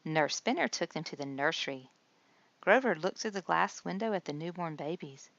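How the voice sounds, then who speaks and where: A woman's voice: fairly low pitch, animated, moderate pace, creaky, fairly bright tone, lo-fi sound. A woman narrating a children's storybook as a read-aloud. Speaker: storybook reader.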